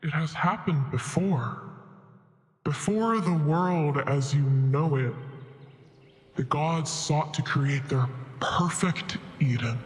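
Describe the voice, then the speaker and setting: A man's voice: a little high, slow pace. A man playing an ancient, wise celestial beast in a tabletop game, speaking in a solemn, oracular manner. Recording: long reverb, music behind. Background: music.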